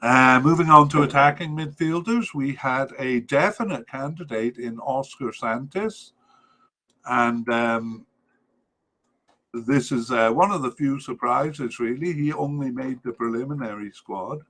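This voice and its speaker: Bright-voiced man hosting a soccer podcast. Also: mid-range voice. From Wales. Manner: halting, many fillers, corrects himself. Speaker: podcast host. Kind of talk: soccer squad analysis podcast.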